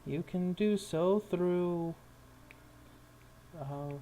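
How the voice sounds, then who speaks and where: A man's voice: sits high, drawn-out words, mellow, a little hiss or hum, pitched above the performer's own voice. A man voicing an evasive, polite merchant scout in a tabletop game, hesitant as he brushes people off.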